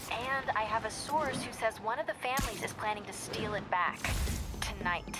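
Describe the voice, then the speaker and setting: An actress playing a confident, inquisitive young investigative reporter in a video game, conversational and probing, with an American accent. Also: female voice, fairly high pitch, moderate pace, loud music behind the voice, lightly acted. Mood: confiding. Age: young adult.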